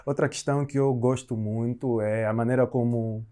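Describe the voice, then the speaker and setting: A man hosting a podcast, chatting casually and agreeing often in a relaxed way. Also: medium pitch, normal speed. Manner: affirming, frequent agreement, relaxed. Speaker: podcast host. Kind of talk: casual chat.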